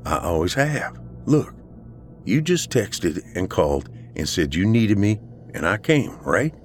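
A man's voice: low voice, big pitch swings, unhurried, faint music behind, voice pushed up. A man playing a worried, loyal friend in a horror story, earnest and colloquial, easily exasperated. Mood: concerned. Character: worried, loyal male friend, rattled but practical. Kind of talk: audiobook character dialogue, horror story.

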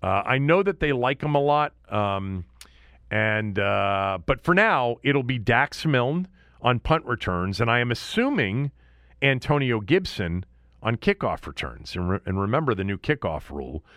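A man hosting a sports show alone, talking casually and conversationally in halting phrases with asides and repetition. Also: medium pitch, big pitch swings, a bit bright, no room sound. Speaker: sports podcast host. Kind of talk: casual sports commentary monologue.

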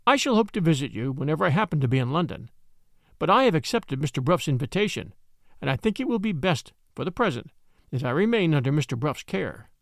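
A proud young lady speaks with high-strung indignation, as a man performs her. The voice sits raised and swings widely. The recording is dry and close. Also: big pitch swings, fast, no room sound. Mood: cool disdain.

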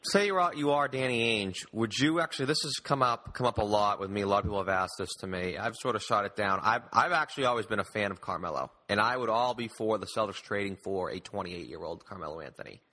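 Male sports podcast host, low but bright and forceful, emphatic and opinionated. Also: fast, hardly any pauses. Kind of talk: conversational interview questioning.

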